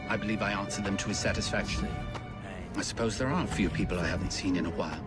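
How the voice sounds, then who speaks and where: A man's voice: fairly low pitch, quick pace, over loud music, close to an everyday voice. A man playing an evasive, secretly sinister tradesman in a video game. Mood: guarded, evasive. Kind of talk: video game dialogue acting.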